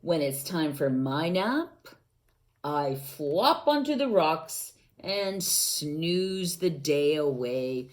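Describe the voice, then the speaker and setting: A woman voicing a tired, lazy alligator in a storybook, with a drowsy, drawn-out delivery. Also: deep voice, lively intonation, full character voice. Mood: sleepy.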